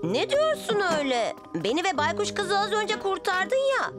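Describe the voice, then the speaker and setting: Cartoon dub: a high-voiced earnest boy hero. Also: wildly swooping pitch, moderate pace, music in the background, exaggerated voice. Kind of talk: cartoon dubbing.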